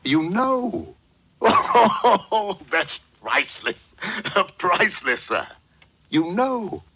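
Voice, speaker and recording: An actor in a 1940s radio drama playing a wily, jovial middle-aged gentleman villain who talks with pompous, florid formality. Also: high-pitched, big pitch swings, very slow, slightly rough, dull audio, exaggerated voice. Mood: gleefully amused. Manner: pompous, formal, chuckling, says "sir" constantly. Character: wily, jovial, florid fat-cat gentleman villain posing as coin dealer. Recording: old 1940s radio recording.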